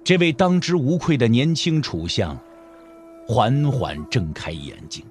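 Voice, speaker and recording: A man's voice: mid-range voice, animated, measured pace, darkish tone. A man narrating a dramatic audio drama as a scripted storyteller. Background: background music.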